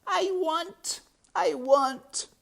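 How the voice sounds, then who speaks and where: A man voicing a simple young servant girl in a storybook, plaintive and loud, with uneducated speech. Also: sits high, wildly swooping pitch, moderate pace, breathy edge, voice pushed up, heavily acted. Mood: wailing, desperate. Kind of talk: storybook character voice.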